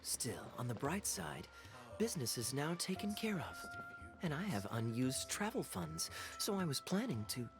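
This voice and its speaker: An actor playing a suave, teasing young knight in a video game, with a smooth, wry, theatrical delivery. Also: male voice, fairly high pitch, very animated, normal speed, soft background music. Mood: wry, easygoing. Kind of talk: video game character dialogue.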